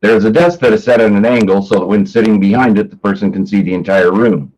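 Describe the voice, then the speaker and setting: A man with a fairly low voice serves as the announcer and narrator of a staged reading. His delivery is plain and matter-of-fact, suited to stage directions. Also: fast, a little mellow, a little hiss or hum, poor audio.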